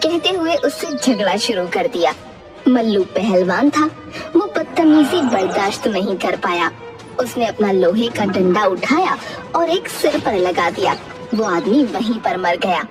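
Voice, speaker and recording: A woman's voice: medium pitch, big pitch swings, a little slow, distant mic, music in the background. A woman narrating a scripted cartoon story as the storyteller.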